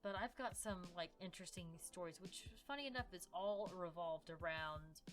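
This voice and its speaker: Lower-voiced woman podcaster, casual storyteller. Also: moderate pace, faint music behind. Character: fey demon woman gossiping about humans. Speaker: podcast co-host. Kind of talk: casual in-character chat, telling family stories.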